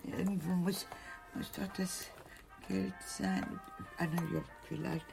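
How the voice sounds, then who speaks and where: An actress playing a scatterbrained, effusive older aunt in a German radio drama, halting and repeating herself with dramatic sighs. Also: female voice, on the low side, level tone, gravelly, a little mellow, soft background music, exaggerated voice. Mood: vague, groggy.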